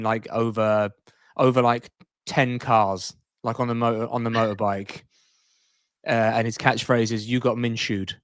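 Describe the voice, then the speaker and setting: A man's voice: medium pitch, clean tone, dry recording. Playful man chatting on a dry mic. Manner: rambling, playful, jokey. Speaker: podcast co-host. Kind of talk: casual podcast chat.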